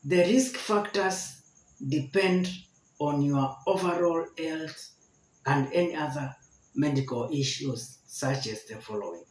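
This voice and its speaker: A man in his forties teaching a health lesson to camera, slowly repeating each point to explain it. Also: a little high, slight background noise. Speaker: medical educator. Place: indoor room with barred window. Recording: echoey room.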